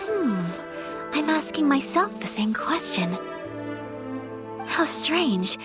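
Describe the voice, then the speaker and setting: High-voiced, refined young noblewoman. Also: big pitch swings, heavily acted. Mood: uncertain. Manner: polite, softly formal, measured. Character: gentle, refined young noblewoman, polite and earnest. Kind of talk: video game voice acting, story dialogue. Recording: game music behind the voice.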